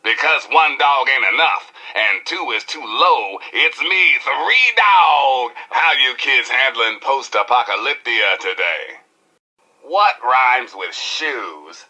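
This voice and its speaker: A rebellious American wasteland DJ from a video game. His delivery is forceful and very lively, with unhurried showman phrasing and slangy, preachy patter aimed at his listeners. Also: medium pitch, slightly rough, exaggerated voice. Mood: showy, upbeat. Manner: showy, punchy, slangy, preachy. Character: brash, rebellious male wasteland radio DJ. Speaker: radio DJ and host. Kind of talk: high-energy radio DJ patter.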